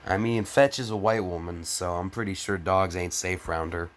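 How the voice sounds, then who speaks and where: A man's voice: low voice, normal speed. A man giving a mocking dramatic reading, breaking off into crude, exasperated, sarcastic commentary. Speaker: comedic fan fiction reader.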